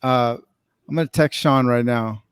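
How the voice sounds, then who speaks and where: The upbeat guy running an online industry meetup, his voice crisp and close with no room around it, keeping things casual. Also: medium pitch, measured pace, dry recording. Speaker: master of ceremonies of an online podcast summit. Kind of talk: casual live event hosting.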